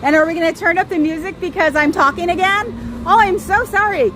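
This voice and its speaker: High-voiced woman rambling over street noise. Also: fairly quick. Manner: rambling, sarcastic, talks straight to listeners. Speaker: livestream host. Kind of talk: casual livestream chat.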